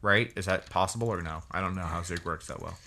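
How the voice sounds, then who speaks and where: A man co-hosting a casual gameplay show, relaxed and joking, asking his partner questions. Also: low voice, fast talker, slight background noise. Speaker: co-host.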